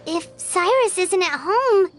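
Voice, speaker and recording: A woman voicing a small, childlike, cheerful fairy companion with a squeaky voice in an anime-style video game. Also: animated, takes it slowly, heavily acted. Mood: puzzled, concerned. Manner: exclamatory, refers to self by name. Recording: music behind the voice. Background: game music.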